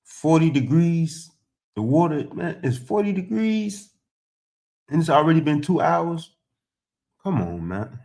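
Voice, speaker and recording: A man's voice: a little high, very animated, a little slow, creaky, pauses often, a little mellow. A man giving casual, colloquial reaction commentary on the news, blunt and exasperated, repeating his points.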